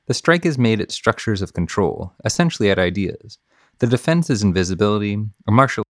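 Male podcast reader, lower register, scripted delivery with commentary, dry room-free sound. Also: sing-song, brisk, fairly bright tone, no echo. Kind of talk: scripted reading of essays and news with commentary.

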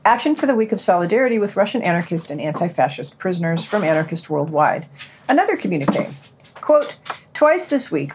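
A woman presenting a podcast, reading scripted news and quotations in a deliberate way with a husky voice. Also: fairly low pitch, a little mellow, a little hiss or hum. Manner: deliberate, announces quotations and their ends.

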